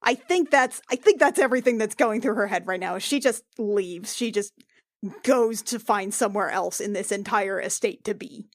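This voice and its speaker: A woman playing in a tabletop roleplaying podcast, chatting casually with joking asides and halting explanations of her character. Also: medium pitch, dry recording, studio quality.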